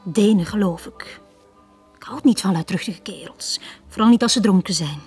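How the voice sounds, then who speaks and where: Coaxing, weary harbour bar hostess. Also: female voice, mid-range voice, normal speed, a little mellow, slightly rough, soft background music. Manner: chatty, coaxing, plain-spoken. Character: tired, forward harbour bar hostess seeking company. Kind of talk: 1980 radio drama acting.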